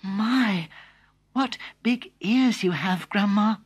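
A man's voice: sits high, slow pace, slightly rough, pitched above the performer's own voice, heavily acted. A man voicing an eager, polite little girl in a storybook reading.

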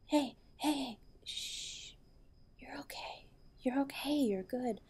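A woman playing a protective, loving wife in an intimate audio roleplay, with a breathy voice. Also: high voice, takes it slowly, darkish tone, heavily acted. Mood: soothing. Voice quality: breathy, often dropping to a whisper. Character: protective loving wife comforting and defending her husband.

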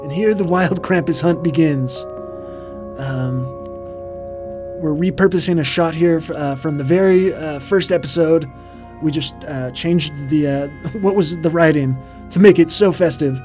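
A man's voice: fairly high pitch, unhurried, lightly acted. A man voicing a vain, boastful ghost who hosts a comedic commentary track, talking in a hammy, pompous way over music. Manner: pompous, hammy, grows loud and ranting. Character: vain festive male ghost host, boastful. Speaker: co-host. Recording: music playing underneath.

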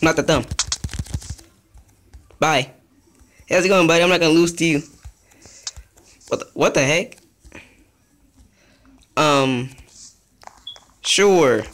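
A young man giving casual, rambling commentary over his own gameplay, chatty and easily excited. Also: on the high side, slow pace, pauses often, slight background noise. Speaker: gaming youtuber.

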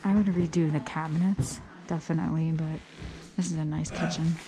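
A woman's voice: low voice, fairly even intonation, fairly quick, noisy background. A woman touring an old house at an auction, chatting to her camera as a vintage paper reseller, gushing over finds and sometimes whispering. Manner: chatty, gushing exclamations, some whispered reading. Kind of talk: casual walk-through vlog. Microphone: body-worn action camera.

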